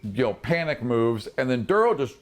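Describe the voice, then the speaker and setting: A man running a tabletop game as game master, improvising casual, animated narration with spoken sound effects. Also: medium pitch, normal speed.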